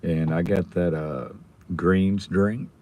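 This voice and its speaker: A middle-aged man with a hoarse, raspy voice, casually chatting with viewers on his live stream. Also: sits low, steady tone, slow, warm tone. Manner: rambling, chatting with viewers by name.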